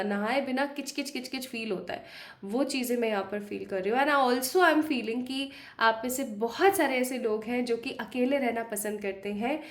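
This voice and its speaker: Woman, tarot reader, slightly hoarse from a cold, higher voice, chatty and direct, echoey room. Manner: chatty, addresses viewers directly, mixes English phrases. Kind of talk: pick-a-pile tarot reading to camera.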